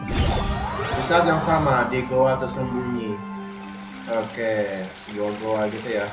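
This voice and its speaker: An Indonesian man chats casually to stream viewers at a middling pitch. He sounds roomy and set back from the microphone, with game music playing behind him. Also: steady tone, takes it slowly. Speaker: game livestreamer. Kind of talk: casual live stream chat.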